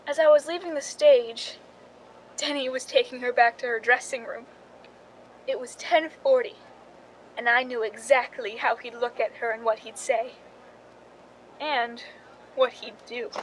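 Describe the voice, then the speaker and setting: A high-pitched female voice over noticeable background noise, a woman acting a jealous, scheming stand-in. She confides her dark plot as radio-drama narration, tense and suspenseful. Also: steady tone, measured pace, long pauses, lightly acted, low-quality recording. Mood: tense, knowing. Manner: suspenseful, confessional storytelling.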